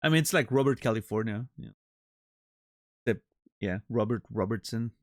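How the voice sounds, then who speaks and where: A man's voice: lowish voice, expressive, moderate pace, dry recording, studio quality. A man streaming and chatting casually with his viewers, joking and rambling with many restarts. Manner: rambling, self-interrupting, joking. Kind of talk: casual chat with live chat.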